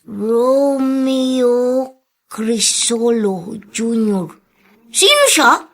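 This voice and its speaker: A woman's voice: fairly high pitch, expressive, drawn-out words, a bit bright, exaggerated voice. A woman with a Filipino accent playing a sweet, timid young street boy with a secret, in a radio drama. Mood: curious, earnest.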